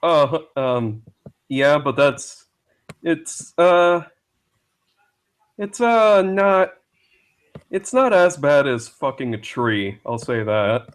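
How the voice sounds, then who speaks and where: A man chatting casually as a guest on a live stream, speaking in a hesitant, halting way. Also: a little high, expressive. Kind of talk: casual chat.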